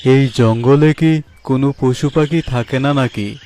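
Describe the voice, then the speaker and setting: An actor playing a lazy, meat-loving tiger in a cartoon, with a leisurely drawl. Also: male voice, medium pitch, a little slow, warm tone, faint music behind, natural voice. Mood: idle musing. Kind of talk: cartoon voice acting.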